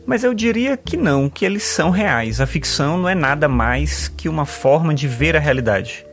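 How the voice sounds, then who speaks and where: A man's voice: a little high, lively intonation, normal speed. A man playing a talkative male philosopher and sociology teacher in an audio drama, earnest and fond of quoting thinkers, with faint music underneath. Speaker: patient.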